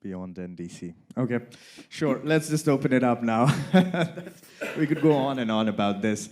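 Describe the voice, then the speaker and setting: A man moderating a conference panel, brisk and quick to hand over the floor. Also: medium pitch, expressive, darkish tone. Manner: brisk, laughs between prompts.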